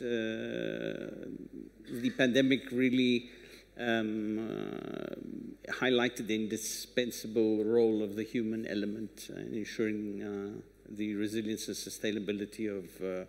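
Older male panelist, halting prepared delivery, creaky low voice in a reverberant hall. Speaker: conference panelist. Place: on stage in a conference hall. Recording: echoey conference hall.

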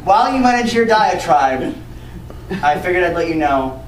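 A man's voice: high-pitched, big pitch swings, normal speed, noisy background. A young man doing an improv comedy scene in a living room, breaking into loose rhymed rapping. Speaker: improv performer. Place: carpeted living room, indoors. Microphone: far camera. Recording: roomy sound from a far camera, loud music.

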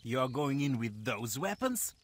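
A man's voice: medium pitch, big pitch swings, moderate pace, a little hiss or hum, natural voice. A man voicing a hardened guerrilla commander in a video game, terse, dry and sardonic. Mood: dry, businesslike. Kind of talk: scripted video game cutscene acting.

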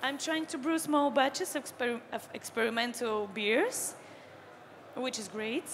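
A young woman with a Bulgarian accent speaks in a high voice, eager and sometimes searching for words. Her handheld mic carries the long reverb of a large hall. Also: unhurried. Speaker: panelist, brewer. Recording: hall reverb, faint noise.

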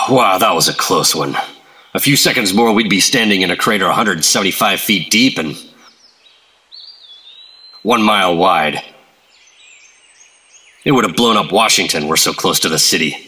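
An actor playing a gruff, weary male government operative in a radio drama, confiding and grave. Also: sits low, lively intonation, measured pace, long pauses, heavily acted. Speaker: secret agent Operative-132. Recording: radio drama with loud music score.

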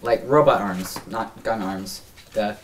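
A young American man playing a nervous, rambling small-time parts buyer at a script read, talking in halting slang. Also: fairly low pitch, slight background noise. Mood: flustered, rambling. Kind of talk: table read of a film script. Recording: distant mic in a room.

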